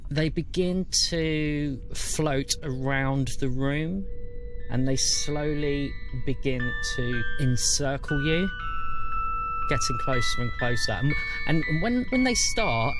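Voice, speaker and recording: A man narrating a tabletop game as game master, setting scenes in a measured, descriptive way. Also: on the high side, poor audio.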